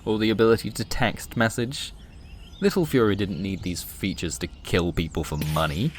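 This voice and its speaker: A man with a low voice tells a scripted comic sci-fi tale. His delivery is wry and dramatic, with faint noise behind the voice. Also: moderate pace. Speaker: storyteller.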